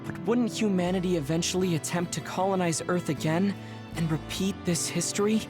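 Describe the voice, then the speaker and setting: A woman's voice: fairly low pitch, fairly quick, over music, natural voice. Actress as formal, analytical woman questioner. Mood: probing. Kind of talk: English video game dub acting.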